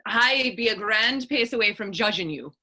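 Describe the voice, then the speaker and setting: A woman's voice: fairly high pitch, animated, normal speed, bright, forceful tone, exaggerated voice. A woman playing Hannah, a spirited, determined Irish actress and patriot, in staged readers theater dialogue with a coaxing, earnest lilt.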